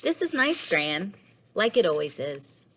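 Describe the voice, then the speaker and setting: A woman's voice: on the low side, sing-song, unhurried, a bit bright, a little hiss or hum. A woman reading the part of an earnest, emotional young girl in a storybook. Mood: content.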